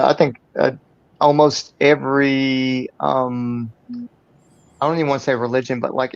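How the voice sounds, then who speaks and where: A man with a gravelly voice speaks hesitantly, full of fillers, correcting himself as he goes. His audio is dull and lo-fi, like a weak video call connection. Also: medium pitch. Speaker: panelist. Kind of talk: casual panel discussion.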